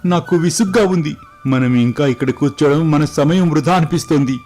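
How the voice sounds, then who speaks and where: A man voicing an eager, impatient young prince, earnest and excitable, in a storybook tale. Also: fairly high pitch, normal speed, soft background music, pitched above the performer's own voice, lightly acted. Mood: impatient, frustrated. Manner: earnest, excitable, respectful to father. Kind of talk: scripted moral story dialogue.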